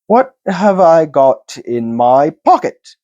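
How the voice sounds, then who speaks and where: A man voicing a small, anxious male hobbit who tries to sound bold, speaking in brisk, clipped replies. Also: fairly high pitch, very animated, a little mellow, highs cut off, pitched above the performer's own voice. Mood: bold, tense. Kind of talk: audiobook character voice.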